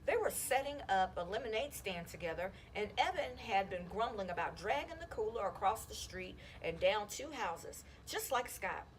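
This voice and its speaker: Animated woman reading a children's story. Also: medium pitch, normal speed, hardly any pauses, a little hiss or hum, low-quality recording. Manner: animated, acts out dialogue. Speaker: school counselor reading aloud to students. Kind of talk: expressive children's audiobook read-aloud.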